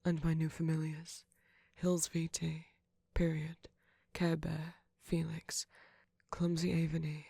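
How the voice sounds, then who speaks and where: Casting: audio creator reading channel outro, gravelly, breathy, mid-pitched, very dry close recording. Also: completely flat delivery, slow pace, dark tone, no room sound. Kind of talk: scripted channel outro, thanking patrons.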